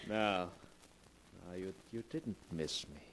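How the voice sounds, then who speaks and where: An actor in a 1940s radio drama playing the devil, a suave mocking man who purrs his lines slowly and chuckles as he gloats. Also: a bit bright, slight background noise, poor audio. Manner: slow, purring, gloating, with low chuckles.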